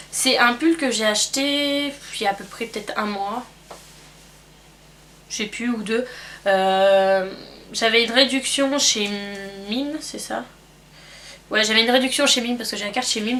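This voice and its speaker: A woman's voice: fairly high pitch, normal speed, a bit bright, a little hiss or hum. A young French woman with a cold chatting at home as a beauty vlogger, rambling and hesitant with lots of fillers. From France. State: has a cold. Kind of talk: casual chat answering a tag.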